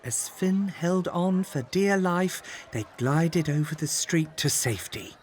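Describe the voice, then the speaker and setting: Guy reading a kids fairytale, pitch a bit high and swooping around, dramatic. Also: takes it slowly, a little hiss or hum. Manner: expressive, dramatic. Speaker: storybook narrator. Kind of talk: scripted children's story narration.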